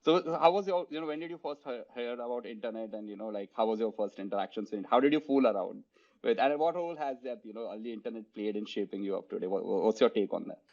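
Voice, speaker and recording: An Indian man hosts an interview in a fairly low voice. He speaks with eager restarts and keeps saying "you know". The recording sounds dull and lo-fi. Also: vocal fry, a little mellow. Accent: Indian English. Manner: eager, halting, many "you know" fillers. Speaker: host.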